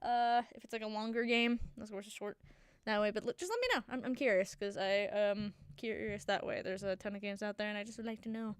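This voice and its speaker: A woman's voice: medium pitch, fairly even intonation, brisk, clean tone, no room sound, studio quality. A young woman with a congested voice, chatting casually as a gaming channel host, rambling with many fillers and restarts. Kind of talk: casual chat.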